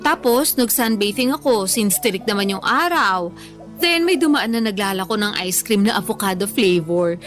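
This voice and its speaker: A woman's voice: medium pitch, expressive, normal speed, fairly bright tone, soft background music, lightly acted. A woman playing a food-loving, playfully defensive young woman in a Tagalog radio drama, chatting in casual, emphatic Taglish. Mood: cheerful. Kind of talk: Tagalog radio drama, natural conversational acting.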